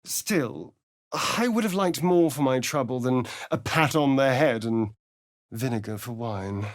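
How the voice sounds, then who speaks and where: Casting: male actor as foppish vampire spawn rogue, game companion. Disdainful theatrical drawl, wide expressive pitch swings, dry studio sound. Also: unhurried, no room sound, lightly acted. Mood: arch, sardonic. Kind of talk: video game voice acting, companion dialogue and narration.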